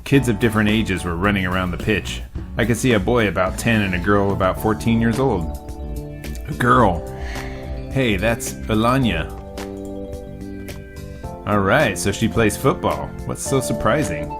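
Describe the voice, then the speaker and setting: A young man casually reading a visual novel aloud as a let's-play host, with joking asides. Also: fairly low pitch. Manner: casual, joking, reads deliberately. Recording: music bed under voice.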